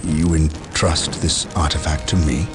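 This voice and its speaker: An actor playing a defiant, resolute space warrior captain, grave and declamatory, in a video game cutscene. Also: male voice, very deep voice, little pitch movement, measured pace. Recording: loud music under the voice.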